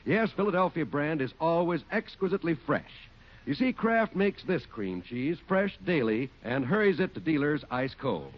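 Lively 1940s American radio adman. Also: on the high side, moderate pace. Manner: enthusiastic, polished selling. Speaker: radio announcer. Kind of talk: 1940s radio advertising read. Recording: muffled 1940s radio recording.

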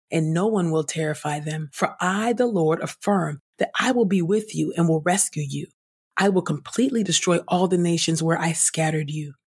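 A woman reading scripture aloud as a podcast host, in a measured, declarative way. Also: lowish voice, a little mellow, slightly rough.

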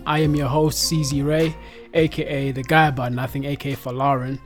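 Young south african guy, podcast host, relaxed and rambling, music underneath. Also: medium pitch, a little fast. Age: 20s. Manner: relaxed, rambling with many fillers. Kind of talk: casual chat.

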